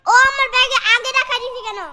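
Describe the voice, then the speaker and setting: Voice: very high-pitched, steady tone, quick pace. A performer voicing a small, blunt, naive schoolchild in a children's cartoon, with music under the voice. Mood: taunting.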